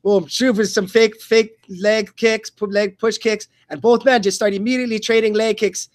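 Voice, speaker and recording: High-pitched man calling a fight play-by-play. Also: steady tone, normal speed. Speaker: play-by-play commentator. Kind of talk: live radio fight commentary.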